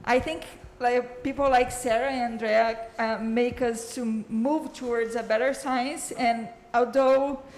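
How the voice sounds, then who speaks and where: A woman's voice: on the high side, fairly even intonation, a little slow, few pauses, a little hiss or hum, poor audio. Chatty woman introducing a guest speaker. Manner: warm, chatty, laughs between remarks. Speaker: course host introducing a speaker. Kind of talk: casual spoken introduction. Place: lecture room.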